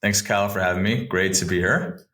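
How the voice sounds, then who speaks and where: A man's voice: low-pitched, fairly even intonation, fairly quick, fairly bright tone. A man in his thirties from Southern California with an American accent, talking conversationally as an interview guest. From Southern California, United States. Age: 30s. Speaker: podcast guest, advisory firm founder. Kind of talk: conversational career storytelling in an interview.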